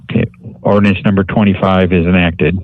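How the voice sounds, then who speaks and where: Lowish-voiced man chairing a formal meeting. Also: flat delivery, fairly quick, dry recording, narrow, tinny audio. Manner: procedural, formulaic calls for motions and votes. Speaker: board chairman running the meeting. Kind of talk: formal parliamentary procedure. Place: government board meeting.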